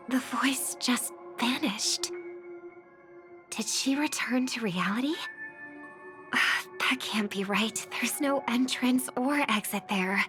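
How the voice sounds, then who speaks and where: A woman playing a gentle, polite young singer in a video game, speaking in a soft, measured, thoughtful way. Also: on the high side. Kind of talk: video game dub acting. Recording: loud music under the voice.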